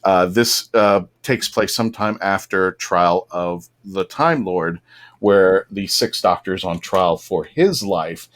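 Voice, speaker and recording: A man's voice: sits low, slow pace, a bit bright. A man hosting a casual fan podcast discussion, talking in a chatty, enthusiastic way. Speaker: podcast host.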